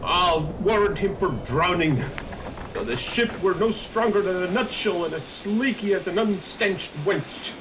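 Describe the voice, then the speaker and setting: A man playing a wry, good-humoured old royal counselor in a Shakespearean audio drama, speaking in a measured, theatrical way. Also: high voice. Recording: loud noise, online remote recording.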